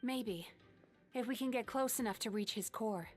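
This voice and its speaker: A woman voicing a calm, compassionate young dream therapist in a video game, gentle and composed. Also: on the high side, moderate pace, lightly acted. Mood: grave, explaining. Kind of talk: English game voice acting. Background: game music.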